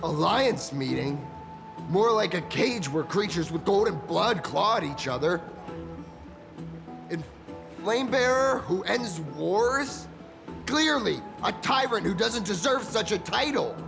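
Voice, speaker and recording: A bitter, defiant male dissident from a video game. His voice is high and gravelly, delivered slowly with biting scorn. It rings with long reverb. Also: expressive, heavily acted. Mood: scornful. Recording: echoey hall reverb over music.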